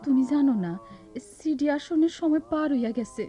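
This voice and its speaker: An actress playing an anxious, pining young village wife in a radio play, timid and plaintive, with a rural eastern Bengali accent. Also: female voice, high-pitched, normal speed, close to an everyday voice. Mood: worried, wistful. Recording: loud music behind, radio drama.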